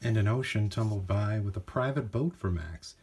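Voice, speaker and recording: Husky-voiced man reading a children's storybook. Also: lowish voice, moderate pace, darkish tone. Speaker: storybook reader. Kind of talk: scripted storybook narration for children.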